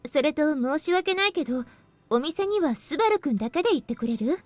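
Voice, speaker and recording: A woman's voice: high voice, lively intonation, racing, dry recording. A woman playing a composed young female team leader in a Japanese visual novel, explaining things politely and with purpose. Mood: apologetic, gentle.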